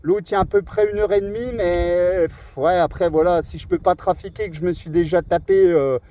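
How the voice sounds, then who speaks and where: A man's voice: high voice, fairly even intonation, fairly quick, dark tone, a little hiss or hum. A man in a casual radio chat, speaking as an amateur operator from outdoors. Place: outdoors on a seaside cliff.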